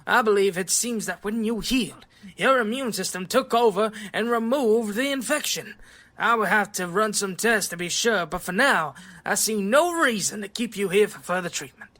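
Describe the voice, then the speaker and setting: A woman playing an excitable, absorbed scientist doctor in an audio drama, precise and given to muttering. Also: mid-range voice, moderate pace. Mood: brisk, apologetic.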